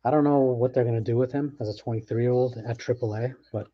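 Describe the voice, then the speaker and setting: A man's voice: mid-range voice, steady tone, quick pace, dull audio. A man hosting a sports card break, talking casually and thinking aloud as he opens packs. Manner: chatty, offhand, thinks aloud with restarts. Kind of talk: casual live commentary while opening packs.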